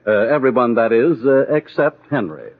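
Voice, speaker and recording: Lively old-time radio announcer, tinny recording. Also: male voice, medium pitch, normal speed. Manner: folksy, enthusiastic salesmanship. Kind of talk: 1940s radio advertising pitch.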